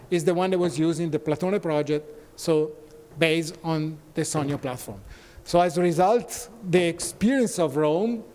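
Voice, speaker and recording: A man's voice: on the high side, a little mellow, a little hiss or hum. Italian-accented man presenting in a reverberant hall. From Italy. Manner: non-native phrasing, frequent pauses and fillers. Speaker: conference speaker. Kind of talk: conference presentation. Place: conference hall.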